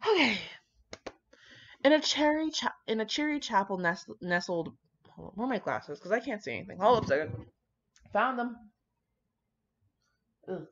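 A woman's voice: medium pitch, lively intonation, normal speed, pauses often. A woman rambling casually with dramatic asides, brash, slangy and full of swearing as she comments on fan fiction. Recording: dull lo-fi microphone.

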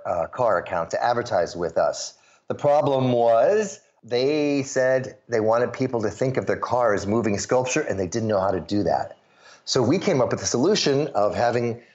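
A man's voice: medium pitch, lo-fi sound. A man from the US Midwest telling stories as an interview guest, acting out the voices of the people he quotes. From suburbs of Chicago, US Midwest. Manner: animated storyteller, acts out quoted voices. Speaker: guest, sales pitch coach.

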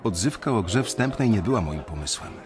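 An actor playing a weary young banker, narrating his story in a radio drama in a reflective, confiding way with a husky voice. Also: on the low side, animated, a little fast, music in the background, close to an everyday voice. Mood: reflective, resigned.

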